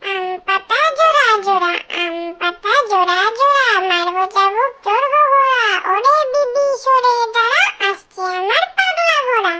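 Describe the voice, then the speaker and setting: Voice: very high voice, expressive, normal speed, few pauses, sharp tone, dull audio. A tiny childlike voice reciting nursery rhymes in a sing-song way, on a very noisy track with clicks.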